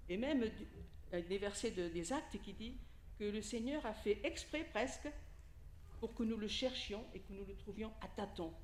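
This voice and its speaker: A woman's voice: mid-range voice, expressive, moderate pace, a little mellow, echoey room, a little hiss or hum. A woman from Italy, a native Italian speaker, giving an informal sermon and talking directly to the congregation with questions and asides. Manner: conversational, addresses listeners directly with questions and asides. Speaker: lay preacher. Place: church hall during a service.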